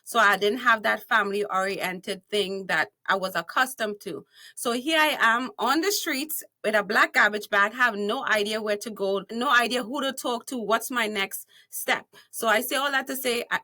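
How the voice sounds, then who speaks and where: A woman's voice: fairly high pitch, normal speed, sharp tone. A woman with a Trinidadian accent, a guest on a podcast interview, telling her story in a bold, playful way. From Trinidad and Tobago. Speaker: guest, speaker and author.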